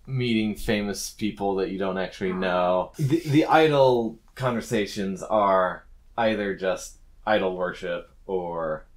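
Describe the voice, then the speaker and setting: A man's voice: deep voice, a little slow. A man improvising narration as the game master of a tabletop game.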